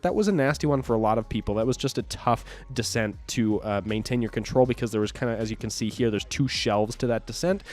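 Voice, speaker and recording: Young male hobby-channel host, hoarse and a bit nasal from a cold, loose rambling commentary, middle pitch. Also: a little mellow. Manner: rambling, lots of uh fillers and self-corrections. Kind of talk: casual video commentary over competition footage.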